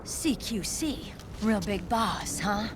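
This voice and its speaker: An actress playing a tough, guarded young soldier with a commanding, measured manner. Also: female voice, mid-range voice, animated, noisy background. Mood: commanding, wary.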